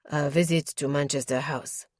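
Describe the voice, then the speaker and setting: A reserved young nobleman speaks in a deep, guarded tone, as a woman reads him. His words are clipped and formal. The sound is dry and close. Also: fairly even intonation, normal speed, dry recording. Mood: grave. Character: grave reserved nobleman, young adult man.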